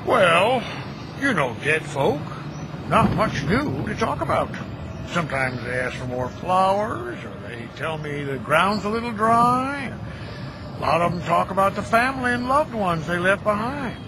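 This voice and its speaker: A man's voice: high-pitched, big pitch swings, a little slow, heavily acted. An actor playing a folksy, kindly American graveyard caretaker in his fifties, plain-spoken and neighborly, in an audio drama. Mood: wry, friendly. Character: folksy, kindly middle-aged graveyard caretaker who chats with the dead. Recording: noisy outdoor ambience with birdsong.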